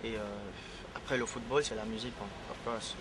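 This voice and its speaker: A man's voice: medium pitch, little pitch movement, brisk. Hesitant man over traffic noise. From Guinea. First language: Fula. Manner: hesitant, repeats words, frequent "tu vois" fillers. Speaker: singer being interviewed. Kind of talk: casual interview chat.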